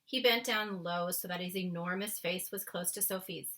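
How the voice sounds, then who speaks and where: A woman reading a children's book aloud as narrator, with dramatic, expressive phrasing. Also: on the low side, normal speed. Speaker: storybook reader.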